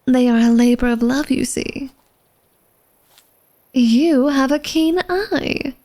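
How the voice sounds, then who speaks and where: A woman's voice: high-pitched, slow, mellow. A woman playing an elegant, secretive vampire lady of a manor in a flirtatious ASMR roleplay, speaking formally and coyly to the listener. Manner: formal, genteel, coy, teasing speech addressed to the listener.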